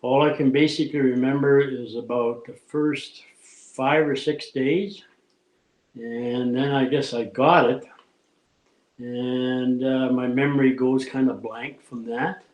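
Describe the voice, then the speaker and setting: A man, calmly and haltingly recalling an illness in an interview. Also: mid-range voice. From Saskatchewan, Canada. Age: 70s. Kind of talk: recounting a personal illness in an interview. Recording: roomy recording with faint music.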